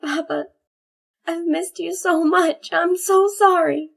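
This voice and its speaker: A man voicing a small, gentle young filly pony in a soft, high falsetto. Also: unhurried, vocal fry, heavily acted. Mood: tearful.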